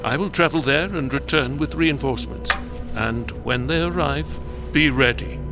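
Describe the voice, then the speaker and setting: A man's voice: fairly high pitch, moderate pace, loud music behind the voice, natural voice. A man playing a cold, authoritarian commander in a sci-fi audio drama, speaking with clipped, contemptuous formality. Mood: stern, commanding. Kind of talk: science fiction audio drama acting.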